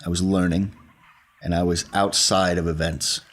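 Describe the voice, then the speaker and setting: Male tabletop player, very low husky voice, talking through in-game choices in conversation. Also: flat delivery, normal speed.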